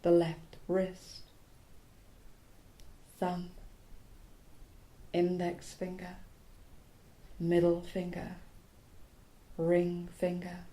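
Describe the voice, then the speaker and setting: A woman guiding a yoga nidra relaxation in a soothing, hushed manner, in short phrases with long pauses. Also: fairly low pitch, low-quality recording. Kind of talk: guided relaxation meditation.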